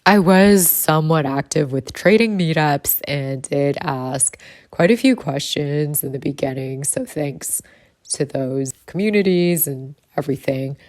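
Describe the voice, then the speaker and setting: A woman in her thirties speaks in a low, husky voice, relaxed and chatty as she vlogs. The sound is dry and close, with no room around her. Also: measured pace, no echo. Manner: relaxed and chatty, with light laughs. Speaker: vlogger and trader. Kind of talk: casual vlog narration.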